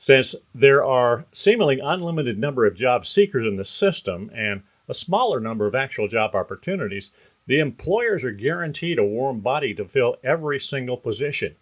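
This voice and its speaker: A man hosting a career podcast, reading a script in a measured, explanatory way. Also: mid-range voice, sing-song, narrow, tinny audio. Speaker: podcast host. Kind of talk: scripted narration.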